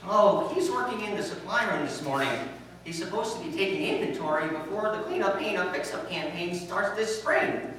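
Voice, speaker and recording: A man playing an eager, anxious high school student, polite and boyishly complaining, in an old-time radio comedy. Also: moderate pace, a bit bright. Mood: plaintive. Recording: very echoey, distant, noisy room recording.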